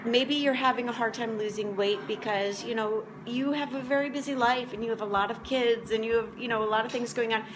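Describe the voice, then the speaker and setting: A woman's voice: on the high side, fairly even intonation, fairly quick, hardly any pauses, background noise. A woman in her forties chatting casually to viewers as a motivational vlog host, talking in a rambling, conversational way. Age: 40s. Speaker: motivational vlog host and food prep coach. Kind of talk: casual chat to camera.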